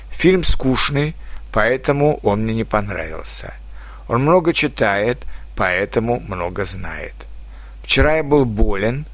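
A man with a lowish voice gives a grammar lesson to foreign learners. He reads each example slowly and deliberately, in a dictation-like way, with his pitch falling at the end of sentences. The sound is dry and close, with no room around it. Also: fairly bright tone, dry recording. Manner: slow, careful dictation-like delivery. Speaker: Russian language teacher.

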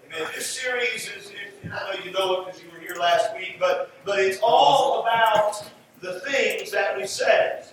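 A man delivers a sermon in a high-pitched voice that rings heavily around the room. He is picked up from a distance from the mic, with music in the recording. His preaching is emphatic, leaning on repeated phrases. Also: normal speed, fairly bright tone, slight background noise. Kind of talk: sermon with scripture teaching and prayer. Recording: roomy echoing hall, distant mic, music.

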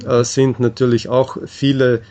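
A man answering in an interview, explaining things in a halting, measured way with frequent hesitations. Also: mid-range voice, flat delivery, darkish tone.

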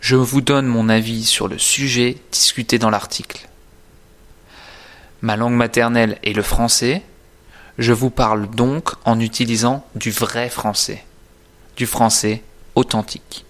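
Slow, deliberate male teacher. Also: medium pitch, flat delivery, a bit bright. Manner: deliberate, carefully articulated, didactic. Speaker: French teacher presenting own course. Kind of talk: scripted instructional narration.

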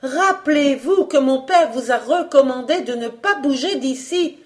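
A woman's voice: high-pitched, animated, measured pace, voice pushed up. A woman voicing an earnest, anxious young woman who pleads. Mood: pleading.

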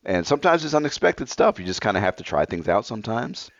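A man's voice: fairly low pitch, big pitch swings, fast, dry recording, highs cut off. A man in his thirties chatting casually as a podcast co-host, blunt and laid-back with a slightly scratchy voice. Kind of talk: casual chat.

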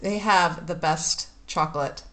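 Chatty woman host, slightly low voice. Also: a little slow, a bit bright. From United States. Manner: chatty, laughs often, many fillers. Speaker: podcast host. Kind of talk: casual interview chat.

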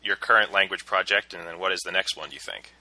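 A man's voice: low voice, quick pace, sharp tone, no echo, rough recording. A man hosting a podcast interview, earnest and thoughtful, often restarting his phrases. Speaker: podcast host.

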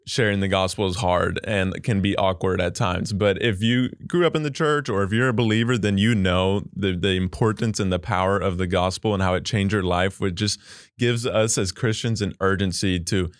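Brief: male podcast host, lowish voice, dry room, earnest interviewer. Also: normal speed, no echo. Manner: earnest, leads the questions. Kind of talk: casual podcast chat.

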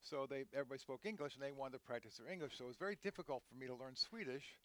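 An older man speaking at a middle pitch, talking through memories in a loose, rambling way. He often restarts sentences and leans on fillers as he goes. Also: rapid-fire. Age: 60s. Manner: rambling, warm storytelling with many restarts. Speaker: guest, head equipment manager. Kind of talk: conversational interview.

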